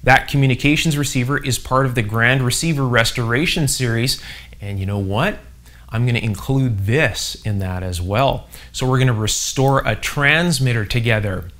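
A man's voice: mid-range voice, fairly bright tone. A middle-aged man hosting an informal workshop video, explaining things in an enthusiastic, conversational way. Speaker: host of an electronics restoration channel. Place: indoor electronics workshop.

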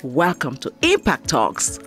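A middle-aged woman hosting an interview in a radio studio, speaking on a boom mic and encouraging her guest with frequent affirmations. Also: huge pitch swings, a little slow, a bit bright, soft background music. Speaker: interview host. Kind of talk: casual interview talk.

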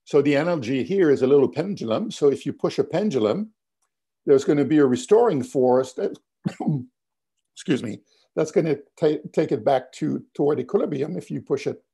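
An older man giving a physics lecture on a video call, explaining step by step with many fillers and restarts, his voice going hoarse. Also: a little high, expressive, normal speed, dark tone. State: losing his voice, coughing. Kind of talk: webinar lecture over slides. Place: home office, indoors.

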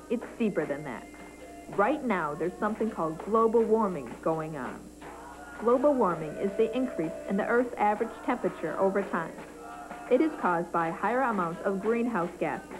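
A woman's voice: medium pitch, poor audio. A young woman reporting and narrating a teen news feature in a chatty, explanatory tone.

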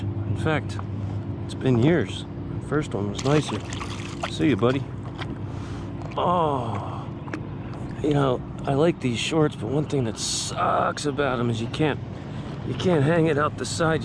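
A man's voice: mid-range voice, animated, measured pace, vocal fry, long pauses. A middle-aged man talking bluntly and profanely in a rambling self-filmed fishing vlog, first from a kayak on open water, then from inside a car. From New Jersey. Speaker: fishing vlogger. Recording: loud outdoor and vehicle noise. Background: loud wind, water and road noise.

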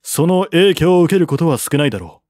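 A man voicing a shrewd, calm military strategist of ancient China in an audiobook, formal and reasoned. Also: a little high, a little fast, no echo, clean studio sound, voice pushed up, lightly acted. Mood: confident persuasion.

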